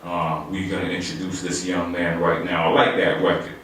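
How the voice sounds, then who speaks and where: Deep-voiced male radio host, echoey studio. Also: normal speed. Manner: relaxed, conversational, some fillers. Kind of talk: radio interview hosting. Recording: very echoey room, distant mic, faint noise.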